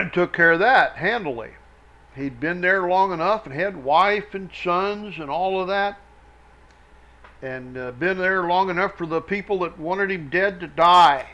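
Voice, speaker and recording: A man preaching verse by verse, reading scripture aloud in emphatic, halting phrases and breaking off for explanatory asides. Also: high-pitched, bright, forceful tone. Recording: dull, lo-fi sound.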